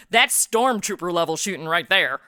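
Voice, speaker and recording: A woman's voice: on the low side, expressive, measured pace, sharp tone, no echo. A woman playing a snarky modern young superhero sidekick in a comedy audio drama, tossing off dry, sassy asides. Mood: mocking.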